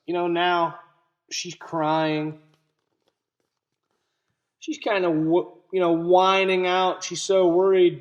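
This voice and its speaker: Unhurried, higher-pitched man reading to children. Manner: explanatory, addresses young listeners. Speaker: read-aloud teacher. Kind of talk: children's story read-aloud with questions to listeners.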